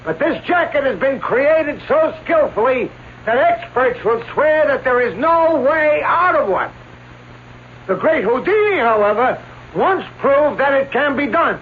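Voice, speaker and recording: High-voiced, florid stage escape artist. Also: male voice, lively intonation, a little slow. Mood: proud, declamatory. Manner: florid, declamatory, addressing an audience. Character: theatrical male escape artist, proud, florid stage showman. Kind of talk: radio drama acting. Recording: tinny, noisy old radio recording.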